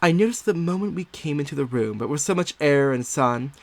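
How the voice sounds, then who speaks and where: A man playing an unsettled, secretive nineteenth-century woman diarist growing obsessed, in a theatrical, confiding dramatic monologue with drawn-out emphasis. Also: fairly high pitch, expressive, dry recording. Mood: disgusted, fixated. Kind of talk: dramatic first-person monologue, audio theatre.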